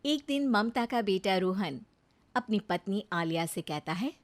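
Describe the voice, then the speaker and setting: A woman narrating a cartoon moral story in an unhurried, expressive way. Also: mid-range voice.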